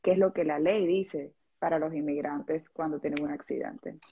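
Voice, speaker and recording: A woman's voice: deep voice, fairly even intonation, normal speed, slightly rough, phone-quality sound. A woman in her thirties with a Cuban-tinged Miami Spanish accent, a lawyer explaining things earnestly, step by step, as a radio guest. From Venezuela. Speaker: personal injury lawyer.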